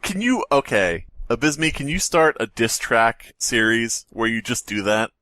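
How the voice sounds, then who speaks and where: A man's voice: medium pitch, lively intonation, a little slow, sharp tone, no echo. A man co-hosting a podcast with casual comedic banter and dry, mock-modest joking. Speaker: podcast co-host.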